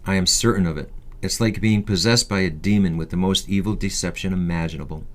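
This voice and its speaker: Deep-voiced man reading his memoir. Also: brisk. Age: 50s. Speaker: author reading a personal memoir. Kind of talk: scripted first-person narration, audiobook reading.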